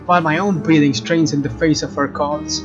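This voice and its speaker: A young male let's player with a slightly high voice reads game text dramatically and slips into casual commentary. The recording is a little dull, with soft music underneath. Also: fairly even intonation, brisk. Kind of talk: dramatic read-aloud with casual gameplay commentary. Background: faint game music.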